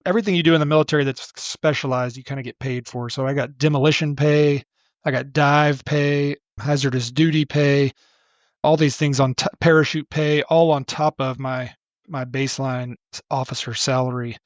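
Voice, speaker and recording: A middle-aged man, a podcast guest, talking casually in a relaxed, rambling way with many restarts. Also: medium pitch, moderate pace, no echo, lo-fi sound. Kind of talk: casual interview talk.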